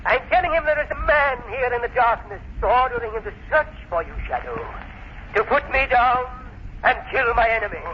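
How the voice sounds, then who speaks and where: Vengeful mad professor for a vintage radio thriller: very high, menacing, theatrical, on a noisy dull recording. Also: male voice, lively intonation, brisk. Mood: gloating. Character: crazed, gloating crippled professor villain, bent on revenge. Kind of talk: old-time radio thriller acting. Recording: noisy muffled old radio broadcast.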